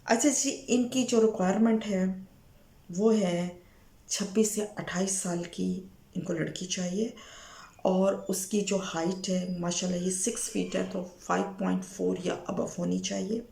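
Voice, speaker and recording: A Pakistani woman with a slightly hoarse, sore throat, presenting a profile informally to viewers as a matchmaker and mixing in English words. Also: medium pitch, darkish tone, reverberant. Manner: Urdu mixed with frequent English words.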